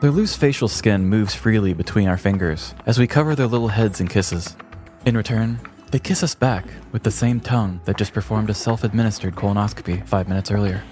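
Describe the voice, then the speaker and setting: Deep-voiced man narrating over music. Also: brisk, darkish tone. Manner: wry, crude descriptive humor. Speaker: podcast host and narrator. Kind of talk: scripted comedic true-crime narration. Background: background music.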